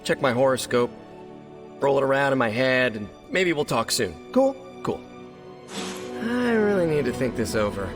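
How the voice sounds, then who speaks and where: A man's voice: medium pitch, sing-song, normal speed, big-room sound, over music. A man playing a young American superhero in a game cutscene, chatty, jokey and self-deprecating, with nervous earnest rambling. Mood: playful, hesitant. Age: young adult. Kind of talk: video game cutscene acting.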